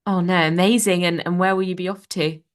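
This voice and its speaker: A woman's voice: fairly low pitch, steady tone, a little fast. A young woman hosting a podcast interview. She reads a scripted intro and then chats in an upbeat, encouraging way, full of praise. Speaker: podcast host.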